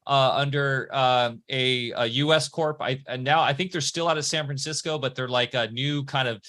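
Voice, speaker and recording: A man's voice: medium pitch, steady tone, moderate pace, pushed and bright. A man hosting a podcast, talking casually and rambling, often restarting and correcting himself mid-sentence. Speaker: podcast host. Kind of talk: casual conversation.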